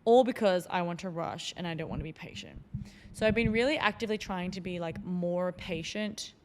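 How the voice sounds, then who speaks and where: Reflective woman podcaster, lower voice. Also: fairly quick, in a large hall, a little hiss or hum. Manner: reflective, loose, many fillers like "like" and "um". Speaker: podcast host. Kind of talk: solo podcast monologue.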